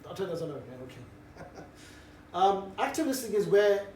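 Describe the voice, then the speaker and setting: A middle-aged man with a fairly high voice, talking a group through a casual, cheeky briefing. He was recorded from across an echoey room, with faint noise underneath. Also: normal speed. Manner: cheeky, relaxed, jovial banter. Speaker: street preaching trainer. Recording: roomy echo, distant mic, faint background noise.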